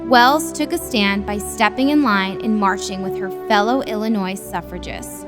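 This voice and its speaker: A woman hosting and narrating scripted history for a young audience in a clear educational style. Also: medium pitch, moderate pace. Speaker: host and narrator. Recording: prominent music behind.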